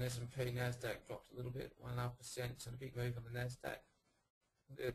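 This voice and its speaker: A man with a hoarse voice he says he is losing, presenting a live forex trading room and talking through charts with many fillers. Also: mid-range voice. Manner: halting, with many fillers and pointing phrases. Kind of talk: live chart analysis talk.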